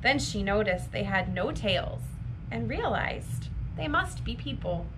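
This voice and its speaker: A woman reading a fairy tale aloud for children in an expressive, deliberate storytime manner. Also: mid-range voice, background noise. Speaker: library storytime reader.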